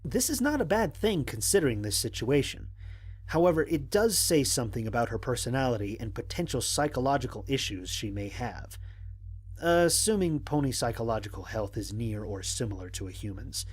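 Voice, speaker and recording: A man acts as a stranded astronaut narrating his logs for an audio drama. His mid-pitched voice is a little husky and moves with animated inflection. The delivery is measured, analytical and dryly humorous. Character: stranded human astronaut, wry, analytical, recording mission logs. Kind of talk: dramatic scripted log narration.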